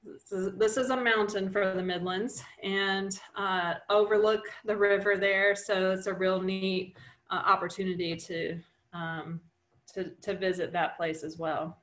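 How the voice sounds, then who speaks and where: A middle-aged woman presenting a nature webinar over a video call, relaxed and chatty, with many fillers and restarts. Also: medium pitch, measured pace. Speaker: wildlife nonprofit director presenting a webinar. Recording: roomy video-call audio.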